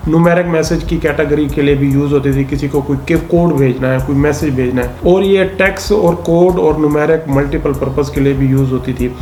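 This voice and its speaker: A man's voice: on the high side, darkish tone. Male commentator, didactic explainer delivery, question-then-answer structure. Echoey room sound with faint noise behind. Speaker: host explaining current affairs. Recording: roomy echo, faint background noise.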